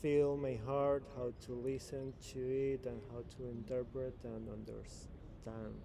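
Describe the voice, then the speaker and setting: A man's voice: medium pitch, level tone, unhurried, vocal fry, warm tone, a little hiss or hum. A man from Venezuela in his thirties with a Venezuelan Spanish accent, speaking English as a second language. He talks reflectively in a casual interview, laughs often and sometimes searches for the right English word. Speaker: guest.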